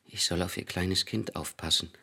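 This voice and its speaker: An actor playing a melancholy, hesitant lover in a naturalistic German radio drama, low-key and awkwardly tender. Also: male voice, fairly even intonation, moderate pace, creaky, pushed and bright, breathy edge, full character voice.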